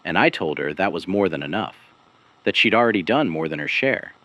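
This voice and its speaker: A man playing a weary, haunted investigative broadcaster, narrating a scripted audio drama gravely and deliberately, in clipped phrases with dramatic pauses. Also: low voice, animated, a bit bright, dry recording, dull audio. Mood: weary, resolute. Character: weary, haunted male investigative broadcaster piecing together a conspiracy. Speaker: broadcast host and narrator of a fictional missing person investigation series.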